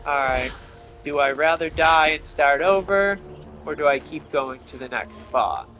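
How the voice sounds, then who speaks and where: A man's voice: a little high, expressive, a little slow, sharp tone. A man commentating casually on his own video game playthrough, muttering and thinking aloud to himself. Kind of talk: casual let's-play commentary. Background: video game music and sound effects.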